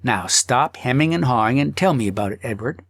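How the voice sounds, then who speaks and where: A man's voice: mid-range voice, lively intonation, gravelly, a bit bright, dry recording, studio quality. Man playing an earnest, swooping-voiced devoted wife. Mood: proud, coaxing. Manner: earnest, emotional, deliberate. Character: devoted, earnest wife, gently reproachful and pleading. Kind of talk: audiobook character acting.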